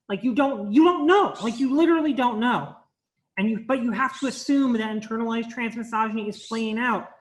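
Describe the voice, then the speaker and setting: A woman's voice: mid-range voice, a little hiss or hum, rough recording. A woman giving an earnest, explanatory talk at an online conference, speaking over a video call with frequent restarts. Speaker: workshop presenter.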